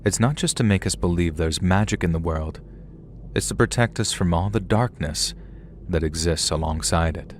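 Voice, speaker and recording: Deep-voiced man telling a horror story. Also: moderate pace. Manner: conversational storyteller, casual asides. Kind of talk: first-person horror story narration.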